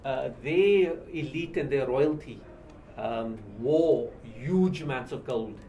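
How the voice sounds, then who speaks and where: An older man with a South African accent giving an informal history lecture to a small class, often halting and repeating words as he explains. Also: medium pitch, mellow. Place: small indoor seminar room. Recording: distant mic with light background noise.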